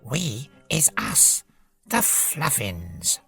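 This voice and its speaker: A small, gruff storybook creature, as acted out by a narrator, with a heavily gravelled croak. It talks slowly and plainly in a lively up-and-down tune, with music underneath. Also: mid-range voice, full character voice. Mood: calm, matter-of-fact. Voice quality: gruff, creaky. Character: gruff little woolly toy creature from a magic land.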